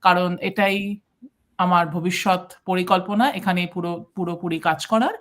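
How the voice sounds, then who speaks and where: Very fast-talking Indian Bengali woman. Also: fairly low pitch, fairly even intonation. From Kolkata, India. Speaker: guest co-presenter. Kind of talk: live self-introduction talk.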